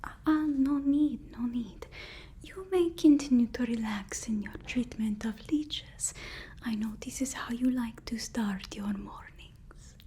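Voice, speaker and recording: A woman playing a fawning, sinister assistant to an evil overlord in an ASMR roleplay, whispering breathily with slow, servile relish. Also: a little high, dark tone, breathy edge. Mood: eager to please. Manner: obsequious, slow, drawn-out, gleefully servile.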